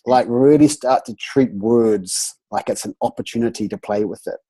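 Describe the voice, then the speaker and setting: A man's voice: mid-range voice, normal speed, breathy edge. A man with a nasal voice, a voice coach chatting playfully on a group video call and often restarting his sentences. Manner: animated, playful, trails off into restarts. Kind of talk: casual group video chat.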